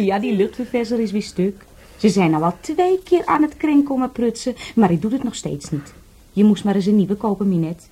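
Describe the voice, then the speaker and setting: A husky, teasing callgirl. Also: mid-range voice, expressive, normal speed, warm tone. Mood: seductive, pouty. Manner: teasing, pouty, brazen. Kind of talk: radio play acting.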